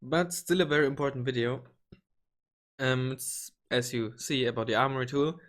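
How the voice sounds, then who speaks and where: A slightly hoarse young man hosting a casual gaming tutorial, rambling with many ums and restarts and the odd swear word. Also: mid-range voice, steady tone, moderate pace, fairly bright tone. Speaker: gaming channel host. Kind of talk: casual screen-recorded tutorial.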